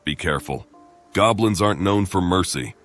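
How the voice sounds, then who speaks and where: An actor playing a gruff, stoic male adventurer in a fantasy game, curt and matter-of-fact. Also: sits low, expressive, moderate pace, fairly bright tone, faint music behind. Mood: stern.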